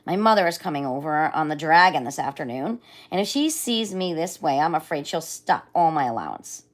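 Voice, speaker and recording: A woman voicing a grumpy, vain, hungry male lion in a storybook, yelling and blustering. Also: sits low, animated, a little fast. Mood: fretful.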